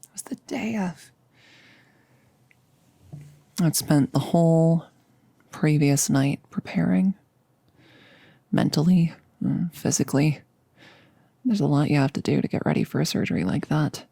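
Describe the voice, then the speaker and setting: A woman playing a nervous, chatty girlfriend in an intimate ASMR roleplay, rambling and confiding with hesitant pauses. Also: low-pitched, lively intonation, gravelly, warm tone, breathy edge, dry recording, heavily acted. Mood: vulnerable, reflective. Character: nervous, chatty girlfriend about to become a vampire. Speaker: audio roleplay performer.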